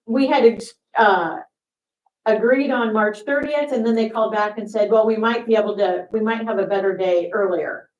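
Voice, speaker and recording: A woman's voice: medium pitch, normal speed, dull audio. A woman pastor, giving casual announcements and opening worship in a church sanctuary. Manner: conversational, relaxed with fillers. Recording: roomy church sanctuary from a distance.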